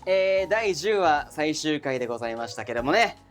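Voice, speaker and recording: A man's voice: fairly high pitch, animated, brisk. A man hosting a casual chat about an episode with fellow cast members. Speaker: host. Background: faint music.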